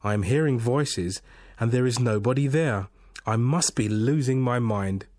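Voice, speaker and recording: A man's voice: medium pitch, a little slow, darkish tone, no echo, studio quality, close to an everyday voice. A man voicing a confused, anxious, apologetic everyman in a storybook tale, hesitant and polite. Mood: bewildered.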